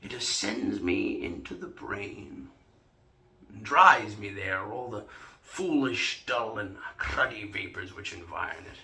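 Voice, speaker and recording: A man performing a Shakespearean stage monologue as a boastful, hard-drinking old knight, with declamatory, drawn-out delivery. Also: on the low side, lively intonation, pushed and bright, exaggerated voice. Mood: jovial scorn. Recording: roomy, echoing solo room recording.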